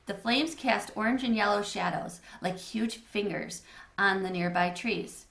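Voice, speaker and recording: A woman's voice: medium pitch, measured pace, creaky, fairly bright tone. A woman reading a children's storybook aloud as narrator in an echoey room. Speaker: read-aloud reader.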